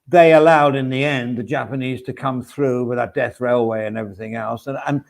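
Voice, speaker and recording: A gravelly-voiced man hosting an after-stream chat. He rambles in a relaxed, casual way and stops to read viewer comments aloud. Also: medium pitch, a little fast. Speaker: stream host.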